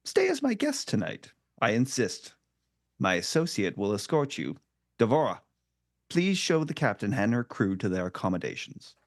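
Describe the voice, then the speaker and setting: A man's voice: medium pitch, wildly swooping pitch, moderate pace, no echo, studio quality. A man playing a menacing alien pirate boss in a table read, mock-courteous and theatrical. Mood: sly hospitality. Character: menacing, silkily mocking Deltan pirate crime boss. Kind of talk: fan script table read.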